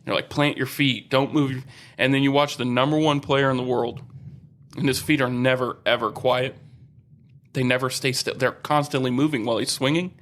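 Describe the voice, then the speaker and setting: A man's voice: mid-range voice, fairly even intonation, moderate pace, fairly bright tone. A middle-aged man co-hosting a podcast, teasing his friend and laughing easily while rambling about sports. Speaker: podcast co-host. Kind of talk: casual chat.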